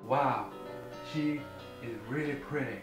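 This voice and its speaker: A man voicing a doll hero in a homemade comedy, with a dopey, deadpan delivery. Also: mid-range voice, slow. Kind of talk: goofy doll-film voicing. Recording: roomy with music behind.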